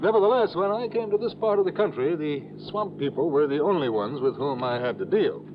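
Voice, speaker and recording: A man's voice: a little high, animated, brisk, close to an everyday voice. An actor playing a prim, snooty, earnest male insurance agent in a mid-century radio drama, formal and hesitant in his speech. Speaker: supporting actor in a radio serial. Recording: muffled, noisy old radio broadcast.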